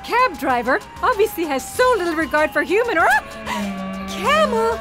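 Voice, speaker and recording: A woman's voice: extremely high pitch, wildly swooping pitch, moderate pace, over loud music, full character voice. A woman playing an anxious, chatty female traveller in audio theatre, flustered and full of questions.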